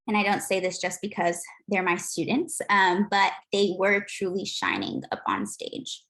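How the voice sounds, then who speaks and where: A woman's voice: medium pitch, animated, a little slow, a bit bright. A woman teacher giving a prepared talk about her class, earnest and sprinkled with ums.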